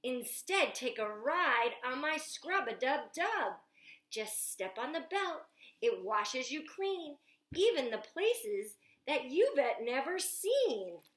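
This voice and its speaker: Woman reading as an excited young boy inventor. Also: high voice, slow pace, full character voice. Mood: proud, excited. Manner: bouncy rhyming read-aloud, stretched words. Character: imaginative young boy proudly touring his dream house. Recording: echoey room.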